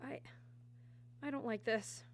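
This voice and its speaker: A woman's voice: fairly high pitch, fairly even intonation, slow pace, a little hiss or hum. An actress playing a frightened wife and mother in a mid-century radio drama, pleading in a faltering voice.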